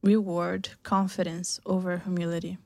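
A woman hosting a faith talk show in a casual, halting way, searching for her words as she goes. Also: on the low side, level tone, gravelly, a little mellow, no echo, clean studio sound.

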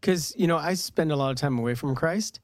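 A man co-hosting a casual faith radio chat, joking and lively. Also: on the high side, brisk. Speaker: radio co-host.

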